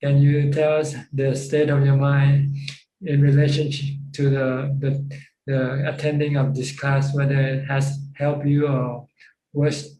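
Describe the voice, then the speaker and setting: Mellow Thai-accented monk in a roomy space. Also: male voice, medium pitch, little pitch movement, a little hiss or hum. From Thailand. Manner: repetitive, halting phrasing. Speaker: Buddhist monk and teacher. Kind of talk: informal Dhamma teaching with questions. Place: Zoom call. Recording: roomy, echoing video call.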